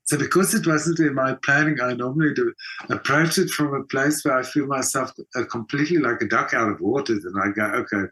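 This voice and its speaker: A man's voice: medium pitch, a little fast, bright, forceful tone, husky, poor audio. An older South African man speaking as an interview guest, telling stories in a casual, reflective way and often acting out quotes with emphasis. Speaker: interview guest, writer and performer.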